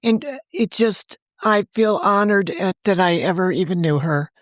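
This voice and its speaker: A woman telling a personal story as a podcast guest, warm and fondly reflective. Her voice sits in a middle range with a dry, close recording. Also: medium pitch, lively intonation, normal speed, dry recording. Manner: warm, fond, gently reflective. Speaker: guest, novelist and podcast creator. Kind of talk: conversational storytelling.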